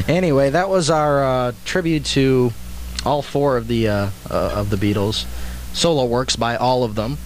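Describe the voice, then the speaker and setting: A man's voice: medium pitch, normal speed. Chatty young male college radio host. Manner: chatty, self-deprecating, rambling. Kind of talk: casual college radio banter. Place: radio studio. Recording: old radio aircheck with faint hiss.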